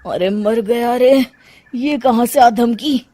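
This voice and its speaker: A woman's voice: on the high side, slow pace, warm tone, dry recording. A woman voicing a harried, hen-pecked young working man who complains anxiously in a comic story. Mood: harried dread.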